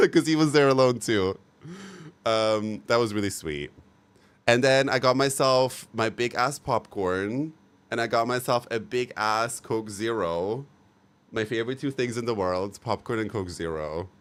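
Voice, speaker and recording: A man's voice: mid-range voice, measured pace, a bit bright, clear, resonant tone. A young man talking casually to his livestream viewers, gushing and frank, with many fillers and repeats. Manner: chatty, frank and sometimes profane. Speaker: livestream host.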